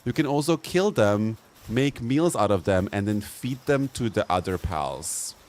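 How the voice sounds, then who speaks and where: A man's voice: on the low side, animated, a little slow, darkish tone, slight background noise. A young man live-streaming a game, chatting casually in a campy, playful way and laughing often. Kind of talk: casual live-stream chat over gameplay.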